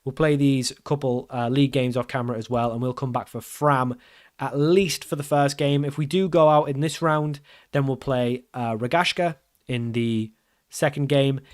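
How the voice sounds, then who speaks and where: A man commenting casually over his football management game, getting loud and excited at the big moments. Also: medium pitch, normal speed. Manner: excitable, shouts at big chances and goals. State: losing his voice. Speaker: game streamer.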